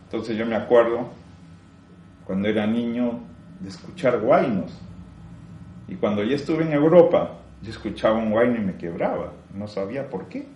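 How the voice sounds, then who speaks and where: Male spiritual teacher, low voice, echoey room, slow emphatic delivery, rhetorical questions. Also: lively intonation, dark tone, highs cut off, a little hiss or hum. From Peru. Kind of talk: spiritual teaching talk to a group.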